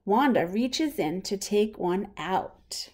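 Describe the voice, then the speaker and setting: Female storybook reader, mid-range voice, expressive delivery aimed at little kids. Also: medium pitch, takes it slowly. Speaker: reader of a children's picture book read-aloud. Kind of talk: children's storybook read-aloud.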